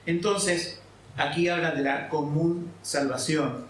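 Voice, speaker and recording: A man's voice: a little high, steady tone, takes it slowly, slight background noise. A man from Argentina, a pastor preaching a sermon and explaining scripture, often checking in with his listeners. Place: church hall. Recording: echoey hall, mic at a distance.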